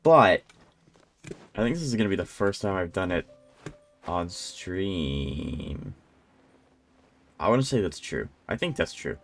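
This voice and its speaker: A man's voice: low voice, big pitch swings, slow, creaky, pauses often, faint music behind. A man giving casual live gameplay commentary as a streamer, rambling and thinking aloud. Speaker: game streamer.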